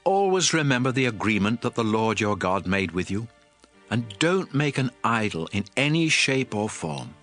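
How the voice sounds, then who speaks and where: A man's voice: mid-range voice, sing-song, normal speed, a bit bright. A man playing a stern, aged prophet exhorting his people in a solemn, emphatic dramatized scripture reading. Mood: stern warning. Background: music.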